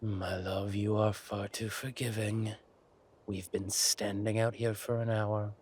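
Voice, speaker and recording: A man playing a villainous king in an audio roleplay, silky and amorous yet quietly furious, with deliberate, mock-polite speech. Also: lowish voice, flat delivery, a little hiss or hum, natural voice. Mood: coldly angry.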